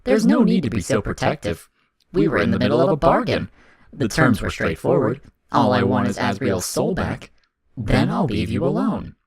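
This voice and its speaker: A man voicing an eerie, coldly formal demon child, deliberate and menacing, in an audio fiction. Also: mid-range voice, very animated, a crowd in the background, voice pushed up. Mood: cold, reasonable.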